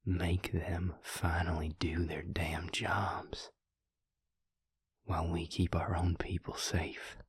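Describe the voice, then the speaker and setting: Guy acting as a protective vampire boyfriend, really husky n breathy, slow earnest near-whisper, intimate roleplay audio. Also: level tone, full character voice. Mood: firm, resolute. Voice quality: husky, breathy, gravelly low voice. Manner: hushed, slow, deliberate, intense. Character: protective, brooding male vampire lover, earnest and simmering.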